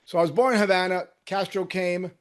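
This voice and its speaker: A man in his sixties, born in Cuba and raised in New Jersey, telling his life story as a guest surgeon in a casual interview, lively and often trailing off into quiet asides. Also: sits high, fairly quick. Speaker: guest, orthopedic hand surgeon.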